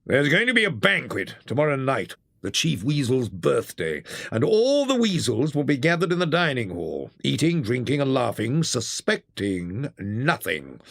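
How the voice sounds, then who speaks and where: A reader voicing a steady, authoritative older male badger in a storybook. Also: mid-range voice, dry recording, studio quality, full character voice. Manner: measured, authoritative.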